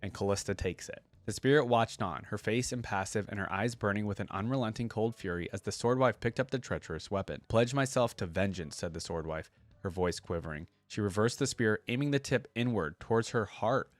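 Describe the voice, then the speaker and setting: A man with a fairly low voice hosts a reaction video. He moves between informal, halting commentary and reading a story aloud. The sound is dry, with very little room in it. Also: no echo.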